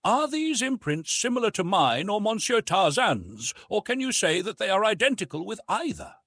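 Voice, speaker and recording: A man voicing a friendly, keen gentleman companion in a storybook, brisk and politely inquiring. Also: high voice, big pitch swings, no room sound, pitched above the performer's own voice, full character voice.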